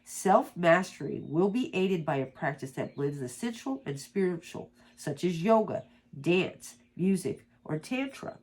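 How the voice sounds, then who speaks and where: A woman with a stuffed-up nose from allergies, reading slowly and softly from a guidebook as an oracle card reader, with small stumbles and asides. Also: lowish voice, animated. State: congested from allergies.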